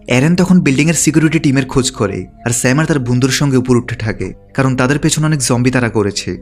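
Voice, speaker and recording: A man narrating a film's story from a script, as a recap narrator. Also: medium pitch. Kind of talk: scripted narration of a film plot.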